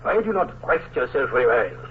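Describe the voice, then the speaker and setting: An actor playing a calm, cautious colonel who speaks in a formal, measured way in a 1940s radio drama. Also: male voice, mid-range voice, slight background noise, lightly acted. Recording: tinny old radio broadcast.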